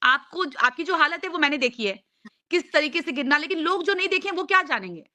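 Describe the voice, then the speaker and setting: High, bright-voiced young woman pleading. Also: lively intonation, fast talker. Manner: earnest, insistent, repetitive pleading. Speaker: live stream host. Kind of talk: casual live chat, pleading mediation.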